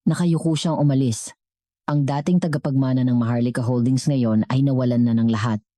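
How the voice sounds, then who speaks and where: A woman storyteller with a low-pitched, mellow voice delivers scripted dramatic narration. The sound is dry and close, with no room around it. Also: steady tone, fairly quick, no echo.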